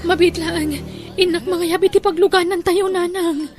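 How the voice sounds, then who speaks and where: A woman's voice: very high-pitched, steady tone, normal speed. A woman playing a worried, hard-up village wife and devoted daughter in an audio drama, speaking with a Filipino Ilocano accent and a plaintive manner. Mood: anxious. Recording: music under the voice.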